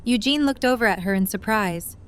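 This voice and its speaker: A woman's voice: medium pitch, animated, a little fast, clear, resonant tone. A woman reading scripted third-person narration for an audio drama. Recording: faint background ambient noise.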